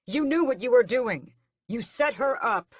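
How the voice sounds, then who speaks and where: Brief: a woman playing a defiant young vampire prince, angry, clipped and forceful, dry close read. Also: deep voice, moderate pace, slightly rough, no echo. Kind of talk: audiobook character voice.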